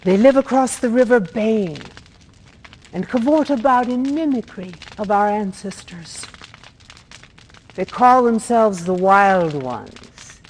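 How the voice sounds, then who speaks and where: A woman's voice: mid-range voice, animated, takes it slowly, rough recording. A woman playing a proud, sorrowful middle-aged mother who trades weapons in a fantasy video game, speaking in a formal, theatrical way. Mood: solemn. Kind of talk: dramatic video game voice acting. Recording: faint background noise, clicks.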